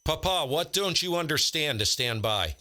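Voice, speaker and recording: A man's voice: a little high, expressive, normal speed, sharp tone. A man speaking bluntly and informally, chatting casually and calling as a ham radio livestream host.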